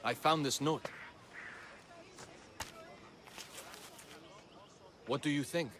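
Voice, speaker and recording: A man's voice: on the high side, a little fast, noisy background, natural voice. A man playing an earnest, guilt-ridden young fugitive in a video game cutscene. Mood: serious.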